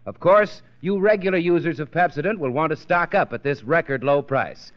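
30s radio ad announcer guy, highish voice, smooth salesman pitch, muffled old recording. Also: normal speed. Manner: polished, persuasive salesman delivery. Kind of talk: 1930s radio advertising read.

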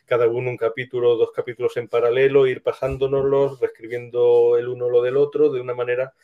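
A man with an accent from Spain talking casually as an interviewed scriptwriter, thinking aloud with hesitant pauses. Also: medium pitch, level tone. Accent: Spanish from Spain. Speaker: scriptwriter guest being interviewed. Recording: tinny, phone-like line.

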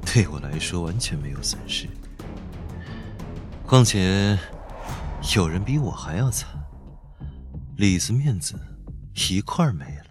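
An actor playing a cool, shrewd young business heir in a radio drama, unhurried and sardonic. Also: male voice, sits low, animated. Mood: wry, offhand. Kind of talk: modern radio drama acting. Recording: long reverb, light music underneath.

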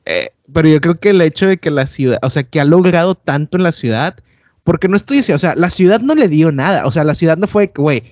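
A man talks through his views in a slangy, reflective chat and hedges his points as he goes. His voice sits a little higher than most men's. It is recorded dry, with no room sound. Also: lively intonation, fast talker, darkish tone, dry recording, muffled recording. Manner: slangy, reflective, hedging with fillers. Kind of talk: casual chat.

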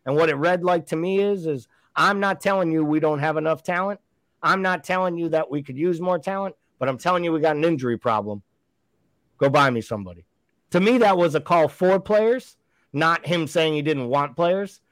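A man arguing his case in a casual sports chat, pushing hard and asking rhetorical questions. His voice sits a little high for a man and sounds dry and close, with no room around it. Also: moderate pace, fairly bright tone, dry recording. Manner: emphatic, argumentative, rhetorical questions. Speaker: podcast co-host.

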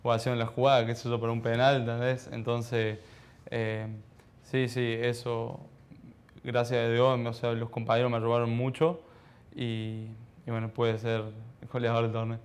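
A young Argentine man of around twenty answers interview questions in a smooth, clean voice. His intonation stays fairly flat, and he speaks modestly and haltingly, like a young athlete unused to talking about himself. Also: mid-range voice. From Argentina, childhood in Ecuador. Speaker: professional footballer being interviewed.

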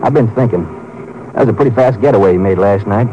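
A man acts an easygoing, inquisitive lawman's partner in old-time radio. His American voice is lowish and rapid, folksy in manner, on a dull and faintly noisy recording. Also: warm tone, natural voice. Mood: musing. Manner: folksy, musing, conversational. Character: male Texas Ranger sidekick, folksy, curious, easygoing. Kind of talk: 1950s radio drama acting.

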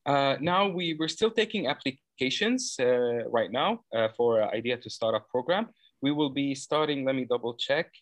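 Man, 30s, from Lebanon; mid-pitched, earnest, filler-heavy explainer, dry mic. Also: lively intonation, moderate pace, dry recording. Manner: earnest, explains at length with frequent uh fillers and self-corrections. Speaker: program founder interviewed as guest. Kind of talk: conversational interview answers.

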